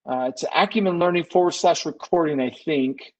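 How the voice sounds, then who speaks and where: A man's voice: a little high, normal speed, darkish tone, muffled recording. A man presenting an informal live webinar Q&A, conversational and thinking aloud, often correcting himself as he goes. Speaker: webinar presenter, business consultant.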